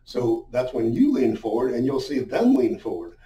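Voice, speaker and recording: Man, middle-aged, soft-edged dark tone, informal instructional talk, conversational asides, distant echoey room sound. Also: mid-range voice, big pitch swings, moderate pace. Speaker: business sales coach. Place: small indoor room with a whiteboard. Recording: roomy, muffled, distant-sounding echo.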